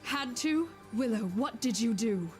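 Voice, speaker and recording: A woman's voice: a little high, lively intonation, unhurried, faint music behind. An actress playing a complacent fairy queen and mother in a fantasy game, regal and dismissive. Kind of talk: scripted fantasy game dialogue.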